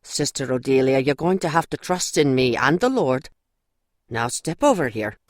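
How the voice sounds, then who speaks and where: Woman as a low-voiced bossy Mother Superior. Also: expressive, moderate pace, darkish tone, no echo, clean studio sound. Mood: firm coaxing. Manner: bossy, no-nonsense. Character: brisk, authoritative older Mother Superior. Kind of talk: audio drama acting.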